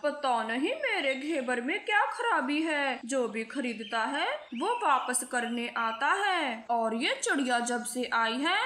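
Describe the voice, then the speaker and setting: Woman voicing a bright, pushy cartoon crow shopkeeper. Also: sits high, lively intonation, takes it slowly, few pauses, roomy sound, exaggerated voice. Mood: puzzled. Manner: pushy, grumbling. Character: scheming, bossy male crow shopkeeper and husband. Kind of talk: children's cartoon voice acting.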